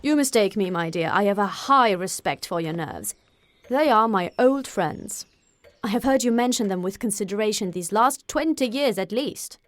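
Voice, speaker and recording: A wry middle-aged British gentleman husband voiced by a woman narrator in a classic-novel audiobook. He teases with reserved, sarcastic wit. The recording is dry and close. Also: mid-range voice, lively intonation, moderate pace, dry recording, lightly acted. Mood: sardonic. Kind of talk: audiobook character acting.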